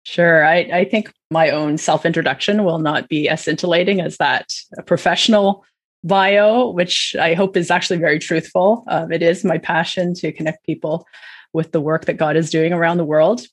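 A woman's voice: lowish voice, steady tone, normal speed. A middle-aged woman with a Canadian accent, chatting as a podcast guest in a casual, self-deprecating way. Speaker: podcast guest, charity executive director. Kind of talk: casual interview conversation.

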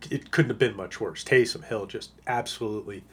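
A man with a hoarse voice he is losing, talking casually as a fantasy football analyst, rambling with lots of fillers. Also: on the low side, a little fast, a bit bright. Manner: rambling, lots of uh and you know. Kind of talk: casual podcast monologue.

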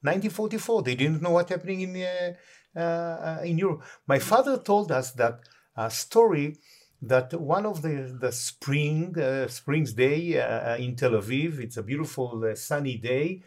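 An older Israeli-accented man talks as an interview guest. He gets his thoughts out slowly, stopping on fillers and starting phrases over as he searches for English words. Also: on the high side, lively intonation, darkish tone. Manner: halting, many fillers and restarts. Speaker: tour guide, guest. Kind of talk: casual interview chat.

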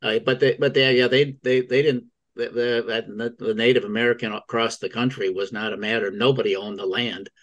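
A man's voice: mid-range voice, steady tone, moderate pace, fairly bright tone, muffled recording. A man talking casually in a group discussion, rambling along and often repeating his words as he goes. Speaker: book club participant.

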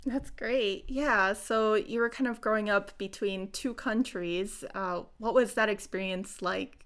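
A woman's voice: mid-range voice, unhurried, fairly bright tone. Upbeat young woman hosting a podcast. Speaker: podcast host. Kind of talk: podcast interview.

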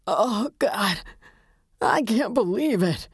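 A woman voicing a desolate, bony old widower in shock, with a cracked, whispery voice and halting, tearful delivery, in an audiobook story. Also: mid-range voice, lively intonation, gravelly, darkish tone, no echo, clean studio sound, pitched above the performer's own voice, exaggerated voice. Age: older.

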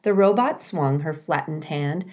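A woman's voice: sits low, expressive, a little slow. A woman giving scripted narration as the reader of a children's storybook.

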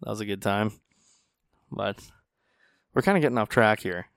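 Lively North Dakota man hosting a podcast. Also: fairly low pitch, moderate pace, gravelly, dry recording, studio quality. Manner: animated, acts out quoted voices. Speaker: podcast host. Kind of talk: casual storytelling chat. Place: small studio. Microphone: studio podcast mic.

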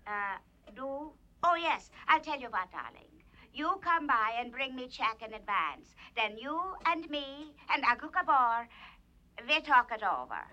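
High-pitched, excitable Hungarian firebrand. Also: female voice, unhurried, lo-fi sound. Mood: scheming. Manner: theatrical, exclamatory. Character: flamboyant, excitable Hungarian woman violinist with a zest for mayhem. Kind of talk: 1980 radio theater comedy acting.

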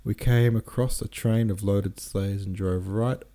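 A man voicing a brash, bossy young gentleman rake from a novel, commanding and informal. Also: on the low side, steady tone, measured pace. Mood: excited boasting. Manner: commanding, peppers orders with "eh?".

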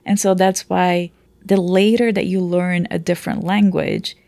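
A woman's voice: on the low side, steady tone, a little slow, gravelly, mellow, no echo. A woman whose first language is Portuguese, a teacher explaining language learning in a conversation, often checking in with "right?". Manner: explanatory, frequent "right?" tags. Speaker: teacher, guest. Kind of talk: conversational interview.

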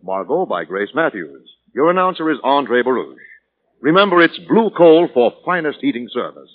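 Male announcer, golden-age radio: mid-pitched, sweeping intonation, formal and declamatory, thin phone-like vintage recording. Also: very animated, normal speed. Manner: formal, declamatory sponsor reading. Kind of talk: scripted 1940s radio announcing. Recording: tinny, narrow-band vintage radio recording.

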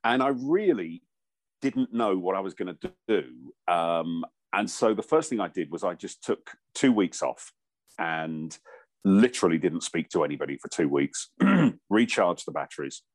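A middle-aged man with a croaky voice, a radio presenter and voiceover artist telling a relaxed story in casual chat. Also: low voice, no echo. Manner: relaxed anecdotal storytelling with pauses.

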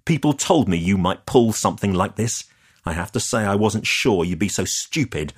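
A man reading an audiobook and voicing a sharp, scornful woman campaigner who snaps and sneers. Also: fairly low pitch, animated, normal speed, husky. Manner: contemptuous, snapping and sarcastic.

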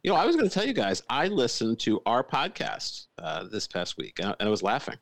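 A man with a deep voice co-hosting a podcast in playful, wry casual chat. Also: huge pitch swings, a little fast, no echo. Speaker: podcast co-host.

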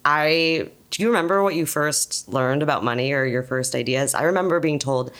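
A young adult woman guesting on a podcast, recorded at the host's home, who talks with a low voice in a thoughtful, candid way. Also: animated, normal speed, a bit bright. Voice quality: low, husky-sounding voice. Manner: thoughtful and candid, with easy laughs. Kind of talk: casual chat.

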